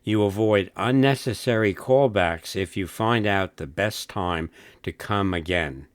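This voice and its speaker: A man narrating a scripted instructional film in a measured, instructive manner. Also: fairly low pitch, no room sound, studio quality.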